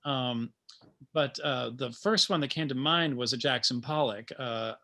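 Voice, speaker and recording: A man speaking informally as a guest playwright about his creative process, in a halting, thoughtful manner. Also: mid-range voice, bright, forceful tone. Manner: halting, thoughtful, chuckling asides.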